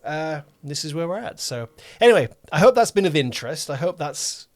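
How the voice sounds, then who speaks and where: A man's voice: a little high, sing-song, a little fast. A man hosting a casual producer podcast, talking emphatically and repeating words for stress. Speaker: podcast host, audio drama producer and director.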